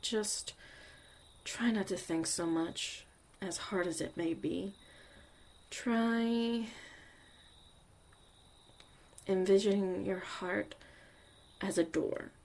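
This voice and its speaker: A woman playing a young witch mother, a tough, protective and caring survivor, in an intimate, halting, confiding ASMR roleplay monologue. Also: medium pitch, a little mellow. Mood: gentle, focused.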